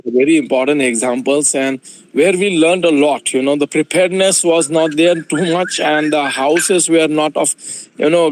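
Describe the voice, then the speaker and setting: A man with a fairly high voice puts a long question to the speaker. He hesitates often and leans on fillers. His voice comes over a video call. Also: rough recording. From India. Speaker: audience member asking a question. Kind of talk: conference question.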